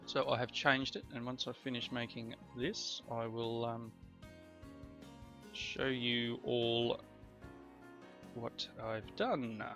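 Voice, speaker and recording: A man's voice: mid-range voice, pushed and bright. A man coming down with a cold, chatting his way through a video game in rambling, self-correcting commentary. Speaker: let's play host. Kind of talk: casual gameplay commentary. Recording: slightly dull lo-fi recording. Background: faint background music.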